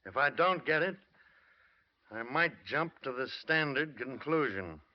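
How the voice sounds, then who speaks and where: An actor playing a blunt, insistent American police lieutenant in old-time radio drama style. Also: male voice, mid-range voice, expressive, a little slow, natural voice. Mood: firm, pressing. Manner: insistent, terse, hard-nosed. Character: blunt, pressing male police lieutenant questioning a witness. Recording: muffled old radio broadcast recording.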